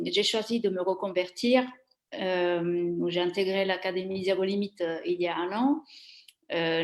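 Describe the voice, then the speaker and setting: Italian-accented woman, echoey room. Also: medium pitch. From Italy. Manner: hesitant, many fillers and restarts. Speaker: guest. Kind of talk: reflective interview answer.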